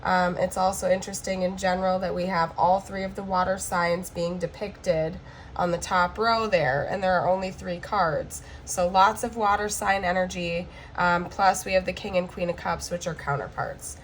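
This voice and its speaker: Hoarse, flu-cracked woman reading tarot. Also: fairly low pitch, fairly even intonation, moderate pace, slight background noise. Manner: chatty, checks in with frequent "okay?". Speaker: tarot card reader. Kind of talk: casual tarot reading to camera.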